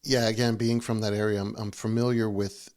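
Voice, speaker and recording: A man's voice: on the low side, level tone, brisk, a little mellow. A man from Massachusetts hosting an interview, asking friendly, curious questions. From Massachusetts, USA. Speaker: host. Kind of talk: conversational interview.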